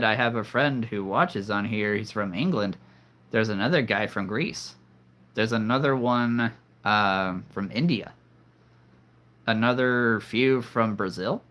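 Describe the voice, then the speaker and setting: A man's voice: on the low side, bright, forceful tone. A man hosting a live stream, rambling casually and making cheesy jokes to his viewers. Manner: rambling, jokey, punning.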